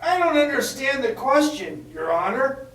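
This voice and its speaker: A man's voice: very high voice, very animated, normal speed, fairly bright tone, exaggerated voice. A man playing an evasive, grudging shop owner giving testimony in a puppet courtroom re-enactment. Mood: puzzled, defensive. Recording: echoey room, distant mic.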